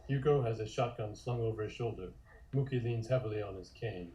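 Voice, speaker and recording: A man's voice: fairly low pitch, brisk, dark tone. A man narrating stage directions from a script at an online table read. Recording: echoey room.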